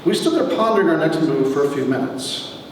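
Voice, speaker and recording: Echoey, animated man reading wry memoir. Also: on the high side. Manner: wry, theatrical storyteller with deliberate pauses. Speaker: author reading from own book. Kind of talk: dramatic comic memoir reading to a live audience. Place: large reverberant room, recorded from a distance. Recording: echoey hall, distant mic, faint noise.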